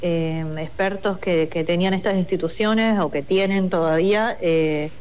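A woman's voice: fairly low pitch, fairly even intonation, moderate pace, darkish tone, clear, resonant tone. A woman with a Buenos Aires accent, explaining a project in an interview, hesitant, with many fillers and restarts. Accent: Buenos Aires Spanish. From Buenos Aires, Argentina. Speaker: project coordinator. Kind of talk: interview conversation.

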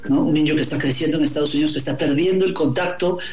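A man's voice: a little high, steady tone, very fast, darkish tone, faint music behind. A middle-aged man from Guatemala, an author being interviewed, speaking reflectively and often repeating words as he searches for the right phrasing. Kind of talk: reflective conversation. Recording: roomy echo.

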